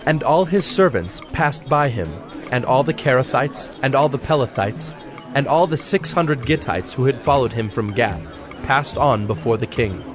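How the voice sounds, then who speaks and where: A man narrating a dramatized audio Bible in a solemn, measured storytelling manner. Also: medium pitch, expressive, over music.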